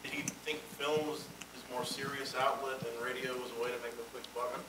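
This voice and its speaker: A man's voice: mid-range voice, steady tone, fairly quick, pushed and bright. A man in the audience asking a casual question, heard far from the microphone in a noisy room. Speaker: audience member. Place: hotel conference room. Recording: distant, noisy room pickup.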